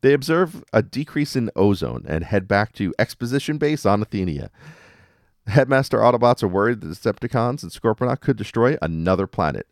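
A man's voice: on the low side, lively intonation, brisk, no room sound, clean studio sound. A man hosting a casual fan podcast, recapping cartoon episodes in an enthusiastic, conversational way. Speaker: podcast host. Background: music under the voice.

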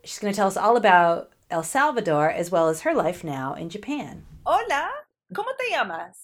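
A woman hosting the interview, speaking with lively, expressive intonation and asking slow, deliberate questions. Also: medium pitch. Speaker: host. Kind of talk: interview hosting and scripted intro.

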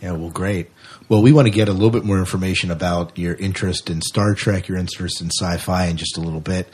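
A man hosts a podcast in a casual, conversational way. His voice sits fairly low and has a noticeably husky, unpolished grain. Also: fairly even intonation, fast, a little mellow. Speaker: podcast host and interviewer.

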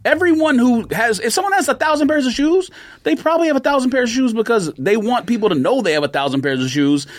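A man's voice: extremely high pitch, lively intonation, quick pace. A man in a casual podcast debate, talking argumentatively.

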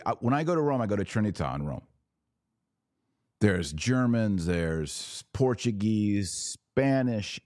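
Deep-voiced man hosting an emphatic podcast. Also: a little mellow, dry recording, clean studio sound. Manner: emphatic, opinionated, rhetorical questions. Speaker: podcast host. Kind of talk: live-stream podcast commentary reading viewer comments.

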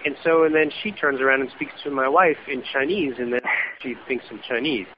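A man's voice: mid-range voice, brisk, dull audio, a little hiss or hum. A man whose first language is German, an author answering interview questions in a reflective, thinking-aloud way with frequent "you know" asides. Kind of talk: radio interview conversation.

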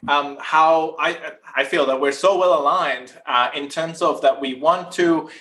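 A man's voice: on the high side, fairly even intonation, measured pace, bright, forceful tone. A man in his forties, originally from Chile, giving long, earnest answers as a podcast guest. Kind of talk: casual podcast interview.

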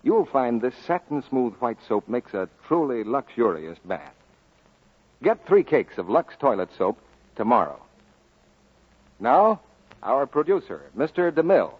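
A man's voice: mid-range voice, very animated, unhurried, pauses often, husky. A man announcing in the style of a 1940s radio advertisement. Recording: muffled old radio broadcast.

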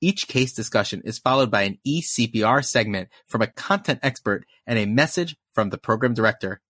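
A man hosting a medical education podcast, lively and quick to laugh. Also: mid-range voice, breathy edge, no room sound, clean studio sound. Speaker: podcast host.

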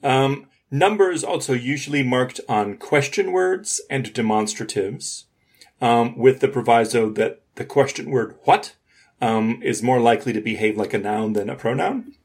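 A man's voice: mid-range voice, moderate pace, darkish tone. A man co-hosting a podcast, explaining technical ideas step by step in an informal discussion. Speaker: podcast co-host.

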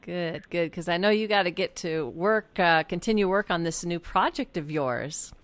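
A woman's voice: on the low side, normal speed. A woman co-hosting and interviewing in a casual, chatty style. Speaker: podcast co-host and interviewer. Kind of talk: casual friendly chat.

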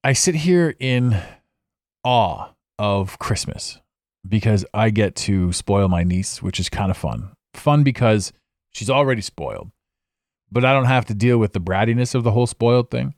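A man's voice: fairly low pitch, expressive, moderate pace, a little mellow, no echo. A man hosting a solo podcast from his home studio, rambling and reflective, with frequent restarts. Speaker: podcast host. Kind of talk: impromptu solo podcast monologue. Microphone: studio microphone.

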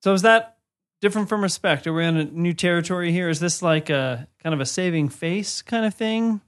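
A man's voice: sits high, fairly quick. A man co-hosting a casual interview, asking questions in a playful, teasing way.

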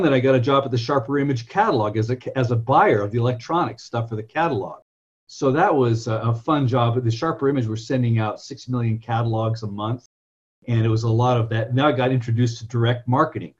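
A husky-voiced man in his fifties, originally from California, talks at length as an interview guest, wandering through anecdotes and often correcting himself mid-sentence. His voice sits at an ordinary pitch, and the recording sounds dull and muffled. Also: fairly quick. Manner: rambling, self-correcting, anecdotal. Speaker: guest, software entrepreneur. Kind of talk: conversational interview storytelling.

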